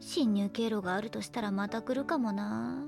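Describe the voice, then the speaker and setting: A woman voicing a grumpy, sharp-tongued scientist in a Japanese audio drama, with blunt, rough scolding retorts. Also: medium pitch, moderate pace, music in the background, close to an everyday voice. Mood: grudging, calmer.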